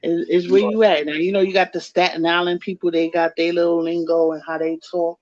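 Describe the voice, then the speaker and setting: A woman's voice: lowish voice, flat delivery, fairly quick, a little mellow. Playful Bronx woman on lo-fi audio. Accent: New York, Bronx. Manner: playful, insistent, laughs often. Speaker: co-host of a live chat show. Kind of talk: casual chat.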